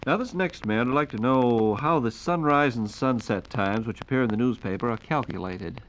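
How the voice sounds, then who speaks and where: A man's voice: mid-range voice, moderate pace. A man hosting a 1940s radio program, speaking formally and courteously as an announcer. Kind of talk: 1940s radio announcing, reading listener questions. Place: radio studio. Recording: noisy 1940s radio recording.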